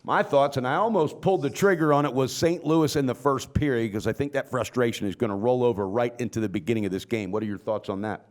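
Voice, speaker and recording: A man's voice: mid-range voice, fast talker, hardly any pauses. A man hosting a sports betting talk show, brash and teasing in casual banter.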